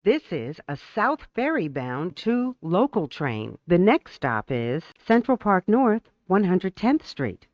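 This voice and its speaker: A train announcer reading scripted transit announcements in a formal, measured, clearly enunciated way. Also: medium pitch, sing-song, no room sound, lo-fi sound.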